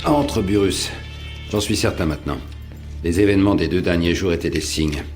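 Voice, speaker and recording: Guy as a roman prefect, low voice, authoritative and suspicious, faint music behind. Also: a little mellow, close to an everyday voice. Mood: suspicious reasoning. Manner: deliberate, authoritative. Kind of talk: French audio drama dialogue.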